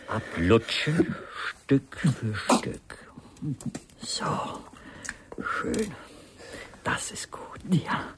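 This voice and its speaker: An actor playing a coldly methodical, mocking murderer in a radio crime drama, speaking deliberately and insinuatingly, often dropping to a murmur. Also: male voice, mid-range voice, big pitch swings, in a whisper. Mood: mocking, coaxing. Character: coldly methodical murderer, American writer husband, mocking and controlled. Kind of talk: German radio crime drama acting with interior monologue.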